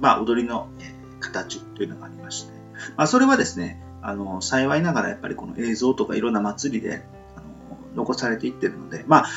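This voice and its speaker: A man's voice: on the low side, gravelly. A man hosting a casual solo talk radio show, politely explaining his thoughts in a hesitant, meandering way as he thinks aloud.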